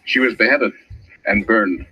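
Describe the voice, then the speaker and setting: A man's voice: on the low side, a little slow, husky. A man playing an urbane professor who relishes old murders, wry and savoring, in vintage radio drama style. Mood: savoring, knowing. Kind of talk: 1940s radio acting. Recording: dull, noisy old radio recording.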